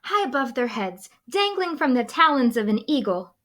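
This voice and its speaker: A woman reading a children's storybook dramatically and expressively, with deliberate pauses and hushed moments. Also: high-pitched, sing-song. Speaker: school librarian reading aloud.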